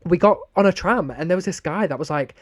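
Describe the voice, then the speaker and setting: A young man with a Sheffield accent, co-hosting a podcast and telling stories in a lively, sweary way. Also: high voice, fast, dry recording. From northern England. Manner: animated, sweary storytelling. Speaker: podcast co-host. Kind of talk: casual chat.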